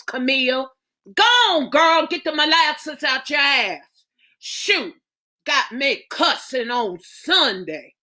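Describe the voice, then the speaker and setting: A bossy, folksy mother of five barks orders and scolds her girls, as a woman puts her on. Her voice is bright and her words come out slow and weighty. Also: sits high, pitched above the performer's own voice, exaggerated voice. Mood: scolding. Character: stern churchgoing mother of five daughters.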